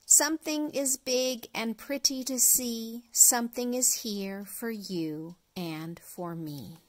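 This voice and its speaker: Slow, deliberate woman reading to children. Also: fairly high pitch. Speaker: storyteller, children's read-along host. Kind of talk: read-aloud of an early reader picture book for young children.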